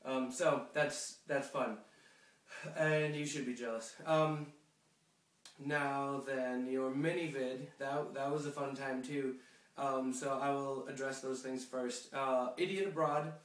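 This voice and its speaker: A sniffly young man rambles along casually, full of ums and restarts. His voice sits a little back from the mic in a roomy, echoing space. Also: medium pitch. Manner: rambling, chatty, many fillers and restarts. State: sniffly, coming down with a cold. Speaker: pen pal video blogger. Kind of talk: casual video letter to a friend. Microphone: phone camera. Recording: echoey room, a bit far from the mic.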